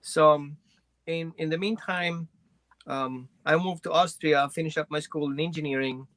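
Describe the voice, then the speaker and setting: Bright-voiced man from Greece. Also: a little high, moderate pace, no room sound. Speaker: guest, dog trainer. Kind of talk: personal storytelling in an interview.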